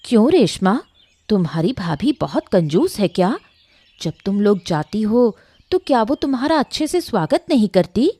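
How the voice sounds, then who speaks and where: A woman's voice: mid-range voice, big pitch swings, moderate pace, mellow, slightly rough, dry recording. A woman playing a curious, sympathetic older neighbour in an acted story, gossipy and inquisitive. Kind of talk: acted Hindi audio story dialogue.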